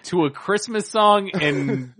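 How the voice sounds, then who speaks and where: A man's voice: sits high, slow pace, a bit bright, slight background noise. A man co-hosting a podcast, talking casually, hesitant and laughing as he picks his words. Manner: hesitant, stops and restarts, laughing. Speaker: podcast co-host.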